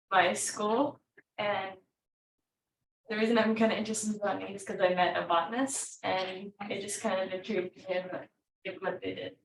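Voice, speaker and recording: A teenage girl from Hawaii introducing herself as a student, halting and soft-spoken. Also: medium pitch. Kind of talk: self-introduction. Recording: echoey room, distant mic.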